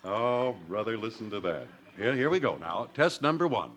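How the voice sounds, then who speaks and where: A man's voice: fairly low pitch, sing-song, moderate pace, a bit bright. A man with an American accent announcing and selling in a hearty, polished old-time radio style. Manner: hearty, polished sales delivery. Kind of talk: 1940s radio advertising and announcing. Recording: old radio broadcast recording.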